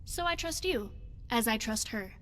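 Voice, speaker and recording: A woman playing a poised, secretive spy devoted to her goddess in a video game, speaking in formal, measured phrases. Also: a little high, animated, a bit bright, a little hiss or hum. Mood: devout, persuasive. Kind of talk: scripted video game character dialogue.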